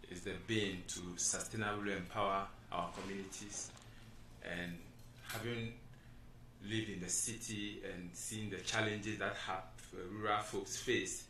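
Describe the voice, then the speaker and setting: Man from Ghana, panel guest, bright forceful tone, distant mic in an echoey room. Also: on the low side, slight background noise. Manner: earnest, steady explanatory talk. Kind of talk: explaining his community work.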